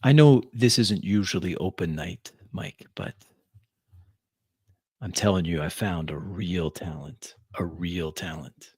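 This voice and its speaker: A man as a low, husky, coaxing club regular. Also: slow pace, a little mellow, dry recording, studio quality, full character voice.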